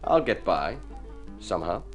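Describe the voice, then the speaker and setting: An old radio play with faint music, where a young drifter. The voice is low, and he answers in terse, guarded, stubborn fashion. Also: very animated, a little slow. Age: young adult. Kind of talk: radio drama acting.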